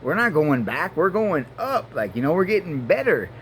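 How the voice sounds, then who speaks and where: A man in his forties from Mexico and Colorado, a native Spanish speaker, talking in a halting, earnest personal monologue full of fillers and restarts. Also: a little high, sing-song, a little hiss or hum. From Mexico and Denver, Colorado. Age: 40s. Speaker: vlogger. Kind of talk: rambling personal monologue to camera.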